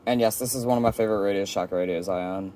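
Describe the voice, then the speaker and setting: A man's voice: lowish voice, fast talker. A man casually presenting his collection in a show-and-tell vlog, recorded at home. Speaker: collector presenting a weather radio shelf tour. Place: indoor room at home with a shelf of radios.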